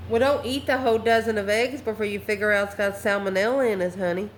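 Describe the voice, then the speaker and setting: A woman's voice: medium pitch, fairly even intonation, quick pace. A woman giving an improvised online tarot reading in a folksy, colloquial and sassy way. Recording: roomy echo with faint background noise.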